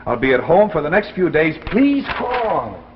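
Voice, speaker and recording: An actor playing a restless, struggling writer and young husband in a 1950s anthology drama, excitable and sometimes halting. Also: male voice, on the high side. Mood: eager. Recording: muffled, noisy 1950s broadcast recording.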